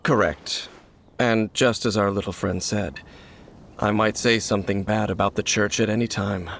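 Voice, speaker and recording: A cool, aloof wanderer. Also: male voice, fairly low pitch, steady tone, a bit bright, a little hiss or hum. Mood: guarded, calm. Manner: measured, wry, reserved. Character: calm aloof male wanderer, guarded and cynical. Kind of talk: video game voice acting. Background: game music.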